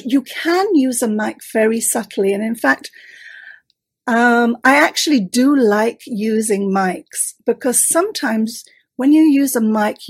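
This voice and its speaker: Husky-voiced woman giving confident advice. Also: on the high side, darkish tone. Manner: confident, instructive. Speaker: storytelling expert guest. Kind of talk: expert advice in an interview.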